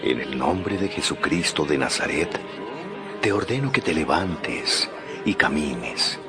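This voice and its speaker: An actor playing a solemn, commanding apostle who heals, speaking gravely in a dramatized Bible recording. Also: male voice, rumbling low, expressive, slow pace, music in the background, full character voice. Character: solemn, commanding apostle working a healing miracle.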